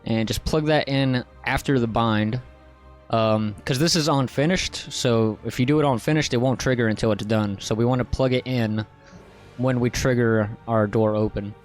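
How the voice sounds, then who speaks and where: A man narrating a software tutorial in a casual, informal, step-by-step way. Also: medium pitch, moderate pace, faint music behind. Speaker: tutorial host.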